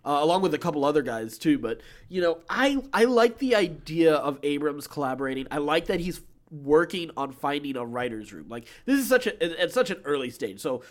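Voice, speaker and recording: A man's voice: a little high, sing-song, brisk, few pauses. A man co-hosting a podcast, chatting casually about pop-culture news in a conversational, rambling way. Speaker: podcast co-host.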